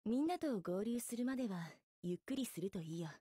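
Casting: princely, gentle young woman idol for a game. Breathy, relaxed tone, courteous delivery, dry studio sound. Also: medium pitch, brisk, no room sound. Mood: gentle concern. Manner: polite, chivalrous, gently reassuring. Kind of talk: Japanese mobile game visual novel acting.

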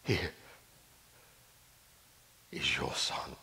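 A man voicing a calm, suffering man who speaks low and hushed, near a whisper. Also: very slow, in a large hall, exaggerated voice. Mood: grave. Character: calm, suffering grown man, quiet and grave.

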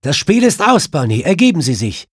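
A man's voice: on the high side, big pitch swings, fairly quick, slightly rough, no echo, studio quality, voice pushed up. A man voicing a self-assured, wry detective who gives confident commands in an audiobook. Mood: commanding.

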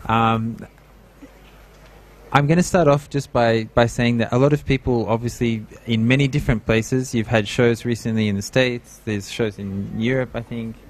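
A man's voice: mid-range voice, steady tone, moderate pace. A middle-aged man hosting an interview at an art fair booth, speaking on a handheld mic and sometimes searching for words. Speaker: talk host. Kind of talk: interview host.

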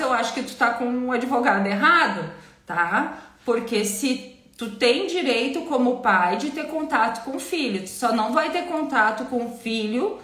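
A woman, hoarse from a cold, talking straight to her webcam from a home office. Her voice sits a little high and carries some room echo. She explains things in a relaxed, chatty way and keeps closing her points with a quick tag question. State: has a cold, nearly voiceless. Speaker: family lawyer answering viewer questions. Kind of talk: casual live-stream Q&A. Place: home office with bookshelves, indoors.

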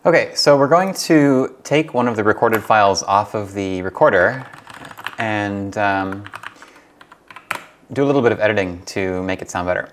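Young adult male host, lowish voice, informal how-to delivery, thinking out loud. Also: lively intonation, moderate pace, a little hiss or hum. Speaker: hobbyist host demonstrating tape transfer. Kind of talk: casual tutorial vlog talk. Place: small furnished rooms at home, indoors.

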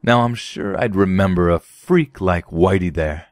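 A man voicing a menacing teenage bully leader with sneering, drawn-out taunts. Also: sits low, no room sound, studio quality, faint music behind.

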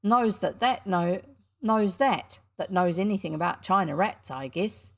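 A woman voicing a cocky young schoolboy showman, loud and indignant as he hawks his show. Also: fairly low pitch, lively intonation, measured pace, vocal fry, heavily acted. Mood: boastful.

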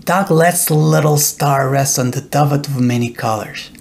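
A middle-aged man narrating a children's bedtime story in a deliberate, expressive storytelling way. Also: on the high side, creaky. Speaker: author reading own children's book.

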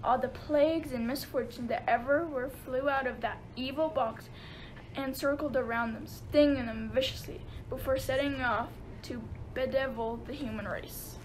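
A high-pitched storyteller, played by a woman narrates a comic Greek myth. It is scripted radio play narration. Clear background noise sits on the recording. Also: fairly even intonation, moderate pace, lightly acted, low-quality recording. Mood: storytelling, dramatic.

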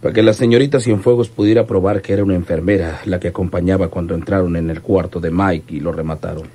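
An actor in a radionovela playing a wary informant who talks hesitantly, in folksy rural phrasing. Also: male voice, low voice, fairly even intonation, fast, warm tone. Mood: wary, confiding. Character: wary male informant recounting an overheard murder plot. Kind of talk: old radionovela acting.

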